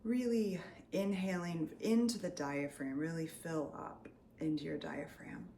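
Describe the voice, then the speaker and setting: A woman leading a guided meditation as a Reiki healer, soothing and unscripted, often drifting into breathy near-whispers. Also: lowish voice, fairly even intonation, unhurried, dark tone. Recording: roomy echo, faint background noise.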